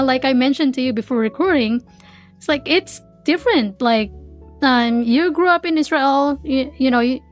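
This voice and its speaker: A woman from the Philippines hosting a podcast interview over a video call, chatty and rambling. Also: sits high. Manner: chatty, rambling, with repeated restarts. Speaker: podcast host.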